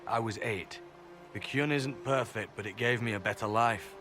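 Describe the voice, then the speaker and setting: An actor playing an earnest, composed secret agent in a fantasy video game, measured and direct. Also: male voice, fairly low pitch, music in the background, close to an everyday voice.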